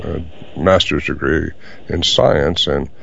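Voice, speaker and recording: An older man answering interview questions in a halting way, with many fillers. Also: a bass voice, fairly even intonation, gravelly, a bit bright, a little hiss or hum. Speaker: guest. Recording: dull lo-fi audio.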